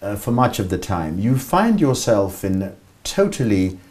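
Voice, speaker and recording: A Kenyan man hosting a formal TV interview, asking measured, articulate questions with a dry wit. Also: fairly low pitch, sing-song, a little mellow. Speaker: TV interviewer, host.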